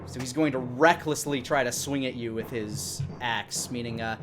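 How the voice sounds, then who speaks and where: A man in his thirties narrating a tabletop game as game master, descriptive, with hesitant pauses. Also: medium pitch, noisy background. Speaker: game master and stream host. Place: indoor room at home, live stream. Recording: music playing behind the voice. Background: background music.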